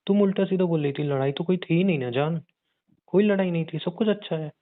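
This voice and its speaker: A man's voice: a little high, fast, natural voice. A man playing a pleading, sulky young husband in an intimate staged conversation, coaxing and insistent, over thin phone-like audio. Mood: hurt, sulky.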